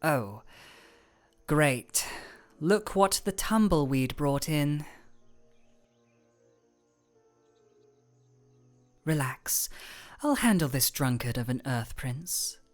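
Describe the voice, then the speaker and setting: Young ice royal played by a woman, fantasy audio drama. Slightly low, widely inflected voice; cool, measured, formal delivery with deadpan wit. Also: soft background music. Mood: deadpan, wry. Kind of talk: scripted fantasy audio roleplay.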